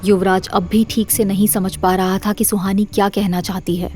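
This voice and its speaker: A woman's voice: medium pitch, little pitch movement, normal speed, dark tone, faint music behind. A woman narrator reading a scripted horror story for an audio drama series.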